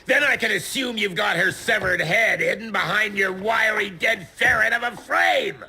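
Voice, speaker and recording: A man's voice: sits high, lively intonation, moderate pace, pushed and bright, slightly rough, full character voice. A man playing a foul-mouthed, pompous government secretary in a video game, bullying and sarcastic as he rants. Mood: furious. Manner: pompous, profane, sarcastic ranting. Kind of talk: theatrical video game acting.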